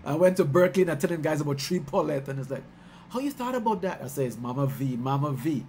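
A fairly high-voiced middle-aged man from Guyana chats on a headset in a small home room. He is warm and talkative, laughing often and getting animated as he tells his stories, and a faint hiss of noise sits under his voice. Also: fast. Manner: warm, chatty, laughs often, gets excited recounting stories. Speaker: musician hosting his album release stream. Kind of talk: casual chat on a video call. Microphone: headset on a video call.

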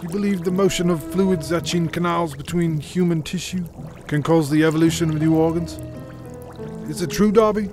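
Audio drama role: actor as deferential, ruthless period henchman, fairly high male voice, formal, music underneath. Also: close to an everyday voice. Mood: inquiring. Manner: formal, measured period diction. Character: deferential 1830s English henchman and salesman, quietly ruthless. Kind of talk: family audio drama acting.

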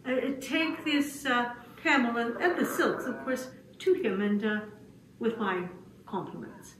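A woman voicing a gentle, humble old male grass cutter in a puppet show, speaking hesitantly and getting easily flustered. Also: a little high, expressive. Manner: hesitant, halting, with many pauses. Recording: roomy, distant mic, faint noise.

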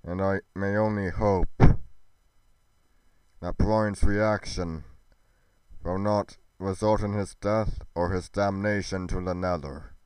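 Righteous male god for game roleplay, man acting, grave, declamatory, smooth low voice. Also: steady tone, darkish tone, dry recording, rough recording. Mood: concerned. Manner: solemn, declamatory, drawn-out. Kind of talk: amateur scripted roleplay.

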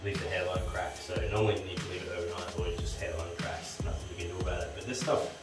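Low-voiced man in an echoey room. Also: flat delivery, fast. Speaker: tradesman presenting a renovation video. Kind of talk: casual how-to commentary while working. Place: small tiled bathroom under renovation, indoors. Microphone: camera a few steps away. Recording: roomy echo, distant camera mic, music.

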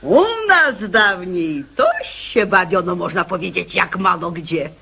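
Shrill, husky gossipy matron. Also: female voice, lively intonation, unhurried, sharp tone, heavily acted. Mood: gushing, plaintive. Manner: effusive, rambling, falsely humble. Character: gossipy, gushing married mother, slyly meddling. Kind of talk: theatrical radio comedy acting.

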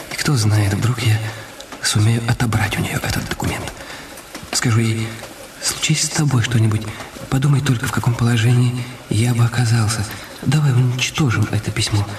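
A man's voice: on the low side, background noise, natural voice. Guy playing a worried calculating husband muttering to himself in a radio drama, kinda far from the mic, roomy. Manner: brooding, talking to himself in halting phrases. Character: anxious, calculating husband brooding over his injured wife. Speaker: actor in a radio play. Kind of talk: radio drama acting, inner monologue. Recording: echoey, slightly distant studio sound. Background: music.

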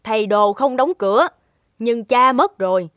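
Scheming folk-tale stepmother for animation, played by a woman: domineering, higher-pitched, cutting and scolding. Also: moderate pace, a bit bright, dry recording, close to an everyday voice. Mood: stern scolding.

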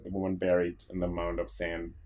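A man's voice: low voice, monotone, fairly quick, darkish tone, slight background noise. A man hosting an interview podcast, introducing it and putting questions to his guest. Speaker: podcast host.

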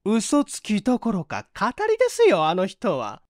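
A woman's voice: medium pitch, huge pitch swings, normal speed, a little mellow, no room sound, studio quality, voice pushed up. A woman voicing a hot-tempered landlady in her fifties, snapping and scornful, in a story reading. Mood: indignant tirade. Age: 50s. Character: shrill, hot-tempered middle-aged landlady, scornful and scolding.

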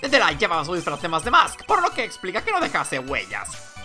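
A man's voice: high voice, wildly swooping pitch, brisk, bright, forceful tone, faint music behind, exaggerated voice. A man voicing a pompous, boastful detective witness in a courtroom game, theatrical and smug.

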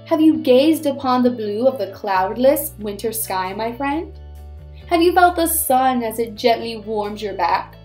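A woman's voice: a little high, animated, unhurried, darkish tone, faint music behind. A woman voicing a wise, kindly male walrus in a storybook, formal, eloquent and reassuring. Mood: earnest, gentle. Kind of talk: storybook read-aloud.

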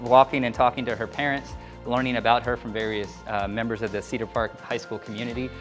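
A man's voice: mid-range voice, flat delivery, fast, a bit bright, faint music behind. A middle-aged man, a school principal, explaining things in an upbeat way as he walks through school halls and classrooms, speaking into a handheld mic. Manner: upbeat, explanatory, walking while talking. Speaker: school principal leading a tour. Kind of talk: casual guided tour talk. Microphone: handheld microphone.

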